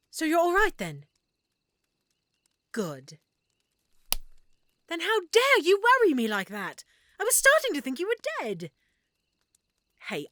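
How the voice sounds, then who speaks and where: A woman playing an affectionate, excitable half-elf shopkeeper in a roleplay audio drama, speaking expressively and warmly to the listener. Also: sits high, dry recording, exaggerated voice. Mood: excited, relieved, scolding, then apologetic, affectionate. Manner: expressive, warm, reacting with feeling.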